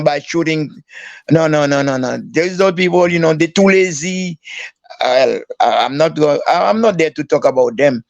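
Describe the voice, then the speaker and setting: A male podcast guest speaking halting, broken English and often repeating himself. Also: fairly high pitch, dry recording. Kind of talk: casual podcast chat.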